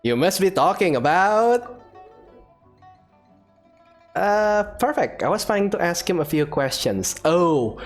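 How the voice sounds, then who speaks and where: A man's voice: high-pitched, sing-song, slow, long pauses. Casual male gamer commentating over music. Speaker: gaming streamer. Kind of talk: casual let's play commentary.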